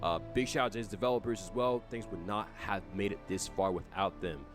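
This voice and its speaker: A man's voice: on the low side, a little fast. A man narrating a game team's update in an earnest, informal way, speaking directly to the audience. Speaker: announcer for a game development team. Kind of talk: semi-scripted update and thank-you narration. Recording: music playing clearly behind the voice.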